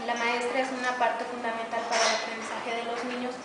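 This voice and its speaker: A young woman who teaches preschool, speaking calmly and softly about her classroom in an interview. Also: fairly high pitch. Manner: soft-spoken, calm, unhurried. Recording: echoey room, distant mic, noisy background.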